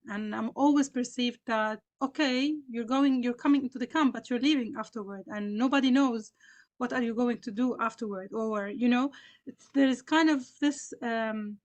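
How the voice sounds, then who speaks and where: A Palestinian woman with a Palestinian and Lebanese Arabic accent, speaking on a panel in an earnest, reflective way with frequent fillers. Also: fairly high pitch. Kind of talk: panel discussion.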